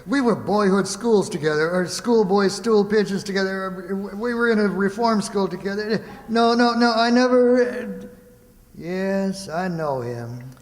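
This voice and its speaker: A high-pitched, bumbling small-town lawyer acted by a man in an old-time radio comedy, recorded in a reverberant hall. He is shifty and tongue-tangled, stammering and rambling through muddled words. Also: lively intonation, unhurried. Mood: evasive, flustered. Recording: echoey hall with long reverb.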